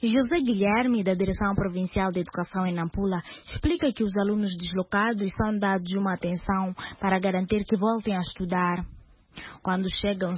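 A woman reporting news for radio in a measured delivery, with short interview questions. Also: medium pitch, slightly rough, no room sound. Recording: dull radio broadcast with faint hiss.

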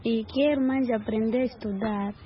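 A young woman student being interviewed, giving slow, simple answers. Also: a little high, fairly even intonation. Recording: dull recording.

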